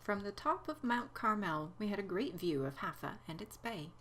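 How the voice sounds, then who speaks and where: Woman, travel narration, scripted, even delivery, middle pitch. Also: moderate pace.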